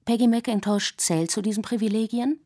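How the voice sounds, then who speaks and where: A woman in her forties, a native English speaker raised in Germany, reading her own radio essay in a measured, reflective way. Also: on the high side, warm tone. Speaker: essay author and narrator. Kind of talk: scripted radio essay narration.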